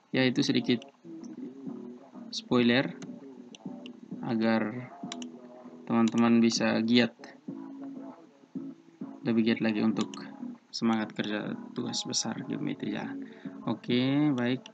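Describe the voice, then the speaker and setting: A young man with an eastern Indonesian accent, walking students through an assignment in a relaxed, halting way with many fillers. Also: mid-range voice, vocal fry. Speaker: civil engineering student tutor. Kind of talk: screen-recorded tutorial walkthrough. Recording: dull lo-fi mic with guitar music behind.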